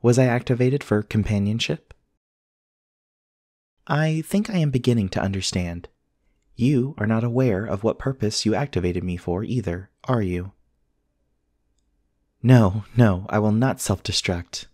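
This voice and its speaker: A man plays a courteous male android companion in an audio roleplay. His voice sits fairly low and is recorded close and dry. He speaks with formal, careful precision, earnest and literal. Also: a little mellow, no room sound. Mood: courteous, helpful. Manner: formal, measured, precise diction. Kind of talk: scripted ASMR roleplay acting.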